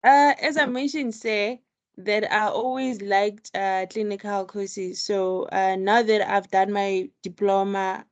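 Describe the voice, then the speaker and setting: A woman student speaks over a video call in an ordinary mid-range voice. She talks hesitantly, pausing often with ums and uhs as she explains herself. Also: mid-range voice, steady tone, muffled recording. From South Africa.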